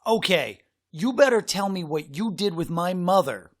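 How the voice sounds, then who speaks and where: Guy doing a plucky little storybook boy, pitched up high, earnest, kinda demanding. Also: animated, normal speed. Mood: bold. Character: Harry, a nervous but plucky, determined little boy. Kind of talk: children's storybook character voice.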